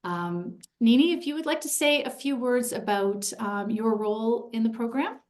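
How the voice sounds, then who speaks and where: A woman presenting an info session in a clear, organized, upbeat way. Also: fairly high pitch, normal speed.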